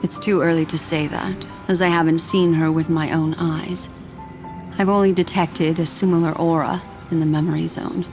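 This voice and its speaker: A woman playing a composed, enigmatic memory keeper in a video game, speaking formally and in a measured way. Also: low-pitched, steady tone, over music. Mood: calm, grave. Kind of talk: video game character voice acting.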